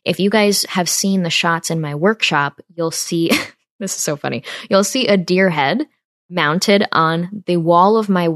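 Earnest low-voiced young woman. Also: fairly bright tone, no room sound, clean studio sound. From upstate New York. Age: 20s. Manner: earnest, persuasive, addresses listeners directly. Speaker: podcast host. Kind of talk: casual monologue.

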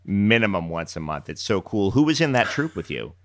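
A man's voice: fairly low pitch, very animated, brisk, dry recording, studio quality. A man hosting a podcast, chatting eagerly and keenly, full of "yeah" and "right.". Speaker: podcast host. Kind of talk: casual podcast chat.

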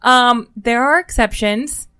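A woman's voice: fairly high pitch, slow pace, bright, forceful tone, clean tone. A woman co-hosting a podcast, talking casually and enthusiastically about books, often repeating phrases for emphasis. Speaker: podcast co-host. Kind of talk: casual book chat.

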